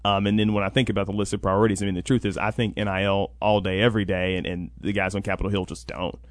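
A man's voice: fairly low pitch, level tone, fast talker, no room sound. Conversational man explaining in a dry recording. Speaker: interview guest, college NIL program coordinator. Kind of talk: conversational interview answers. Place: radio sports talk show.

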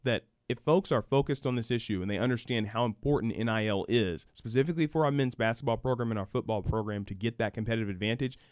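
A man answers questions on a live radio show in a dry studio. He talks quickly and conversationally, explaining as he goes. He often checks in with "right?" and acts out imagined quotes to illustrate a point. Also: medium pitch, no echo. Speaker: radio show guest, university program director.

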